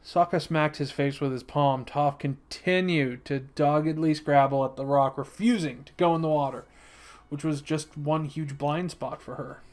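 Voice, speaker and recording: Higher-voiced man narrating a story. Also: steady tone, moderate pace. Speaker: fan fiction narrator.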